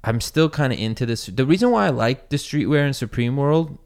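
A man's voice: mid-range voice, expressive, brisk, gravelly, a little mellow, clear, resonant tone. A man in his thirties, a sneaker YouTuber, chatting casually and rambling with lots of fillers and swearing. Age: 30s. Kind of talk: casual chat.

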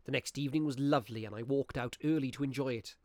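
An actor playing a practical-minded Victorian gentleman narrating a ghost story in a measured, literary way for a radio play. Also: mid-range voice, no room sound, clean studio sound, close to an everyday voice. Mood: grave storytelling.

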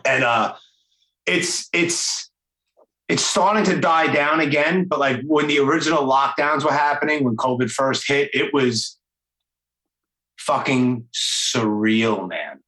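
A man's voice: mid-range voice, takes it slowly, pushed and bright. Enthusiastic forties man, roomy echo. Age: 40s. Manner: enthusiastic, loose, many fillers. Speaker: podcast host. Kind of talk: casual interview chat.

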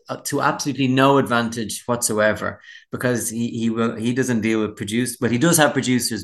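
An Irish man, a musician being interviewed, chatting casually in a candid, rambling, self-deprecating way. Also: mid-range voice. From Dublin, Ireland. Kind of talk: casual chat.

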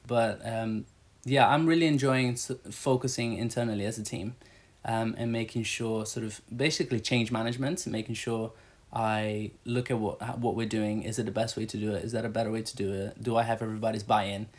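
A man's voice: on the low side, fairly even intonation, brisk, few pauses. Chatty man, rambling church-staff interview. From Brazil. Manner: chatty, rambling, many fillers and restarts. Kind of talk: casual interview answers.

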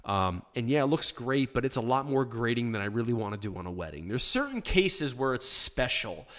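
Hoarse man hosting a chatty podcast. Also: medium pitch, big pitch swings, a little fast, a bit bright. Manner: chatty and plainspoken, many ums and restarts. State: hoarse from shouting. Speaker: podcast host answering listener questions. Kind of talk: casual live podcast chat. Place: small home studio.

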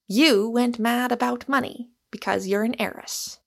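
A woman voicing a sardonic, bitter Irishman in audiobook dialogue, with calm, deliberate irony. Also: a little high, vocal fry, voice pushed up. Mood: bitterly calm. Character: gloomy, sardonic Irishman, quiet, bitter and ironical.

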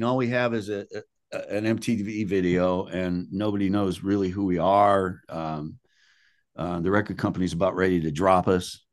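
Deep-voiced man telling stories. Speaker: interview guest, musician. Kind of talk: casual interview storytelling.

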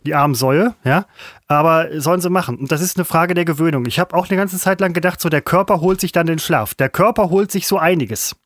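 A man's voice: a little high, fairly quick, no room sound. A man co-hosting a podcast, teasing and placating his partner while talking over him. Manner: teasing and placating, talks over the other host. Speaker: podcast co-host. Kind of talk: casual podcast banter.

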